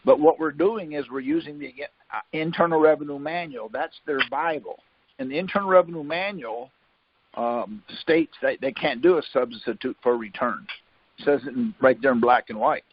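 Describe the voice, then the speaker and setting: A man's voice: mid-range voice, normal speed, slight background noise. A man hosting a conference call, talking in a rambling, self-correcting way, often saying "see?" and "um". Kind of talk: casual explanatory talk. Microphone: phone line. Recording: muffled phone-call audio.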